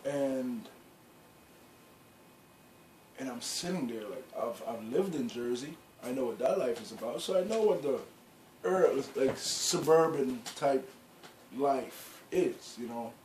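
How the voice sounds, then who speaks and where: A man's voice: medium pitch, lively intonation, measured pace, a little mellow. A middle-aged man from Guyana, telling in a rambling, casual way how his clothing brand began, full of "you know" and restarts. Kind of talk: casual storytelling interview. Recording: echoey room with faint background noise.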